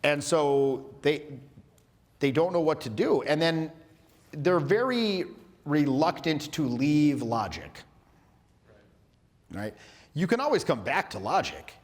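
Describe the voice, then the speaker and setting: A man coaching a room on social skills, his voice sitting a little high for a man. He talks in a loose, playful way, stacking fillers and quick restarts. His words ring out with a long reverb, like a big hall. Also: very animated. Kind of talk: energetic live workshop teaching.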